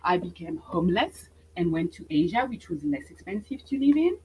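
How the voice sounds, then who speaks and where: Energetic woman coaching. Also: lowish voice, normal speed, a little hiss or hum, rough recording. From France. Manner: energetic, repeats phrases for emphasis. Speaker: business coach. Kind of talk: motivational webinar talk.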